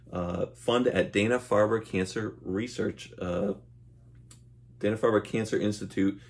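A man in his thirties talking casually to camera as a vlogger, easygoing and a little hesitant, recorded at home. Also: lowish voice. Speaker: vlogger and dog owner. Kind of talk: casual unboxing chat to camera. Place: small room at home, indoors. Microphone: camera mic at arm's length.

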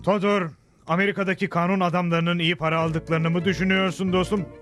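A man's voice: sits high, little pitch movement, quick pace, natural voice. A man voicing a stiffly formal, competitive foreign rogue in a Western cartoon. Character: rivalrous foreign twin-like cowboy schemer. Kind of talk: Turkish cartoon dub. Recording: music behind the voice.

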